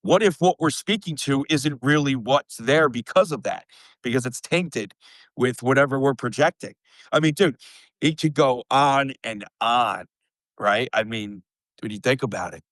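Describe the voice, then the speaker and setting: Animated male podcast co-host, close and dry. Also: mid-range voice, normal speed, no room sound, rough recording. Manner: animated, rambling, exasperated asides. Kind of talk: casual live-stream chat.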